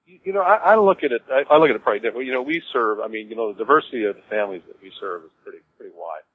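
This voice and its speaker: A man answering interview questions informally as a business executive, in a halting way, repeating words as he explains. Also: mid-range voice, no echo. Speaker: cemetery executive being interviewed. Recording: dull lo-fi audio with clear noise.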